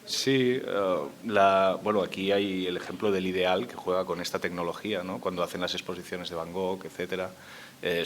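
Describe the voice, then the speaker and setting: Low-voiced man on a conference panel. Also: a bit bright. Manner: measured, thinking aloud with hesitations. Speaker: panel speaker on memory policy.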